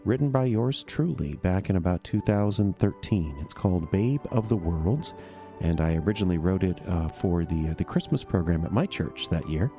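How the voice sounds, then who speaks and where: Rich: A middle-aged man introduces his radio theater show in a relaxed, casual way, pausing on fillers as he goes. His voice is very low and mellow. Faint music sits underneath.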